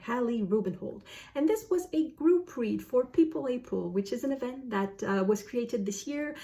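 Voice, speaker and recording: A woman's voice: on the high side, a little mellow. Woman chatting casually, hesitant and rephrasing. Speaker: book vlogger, host. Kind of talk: casual talk to camera, book review.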